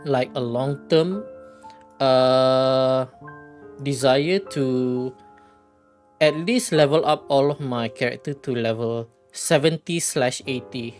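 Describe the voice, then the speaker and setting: A man's voice: medium pitch, takes it slowly, darkish tone. A man doing casual livestream commentary at a watch party, rambling with hesitant phrasing. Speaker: streamer reacting at a watch party. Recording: background music with guitar.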